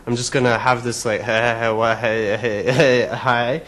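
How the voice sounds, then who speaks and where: A man's voice: mid-range voice, steady tone, unhurried, a bit bright. A young man in his twenties from Quebec, a construction worker, speaking sheepishly in a confessional interview. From Quebec, Canada. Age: 20s. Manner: sheepish, laughing at himself. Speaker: construction worker, reality show contestant.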